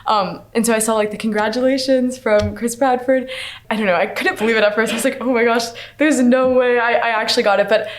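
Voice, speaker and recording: A woman's voice: fairly high pitch, fairly even intonation, fast, slight background noise. A young woman around twenty from Maryland, telling a personal story in a chatty way full of "like". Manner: chatty, peppered with "like". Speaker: scholarship student.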